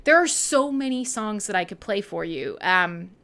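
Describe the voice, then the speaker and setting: A Canadian woman hosting a live radio show with casual, irreverent chat, animated and slangy with some swearing. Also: medium pitch, normal speed.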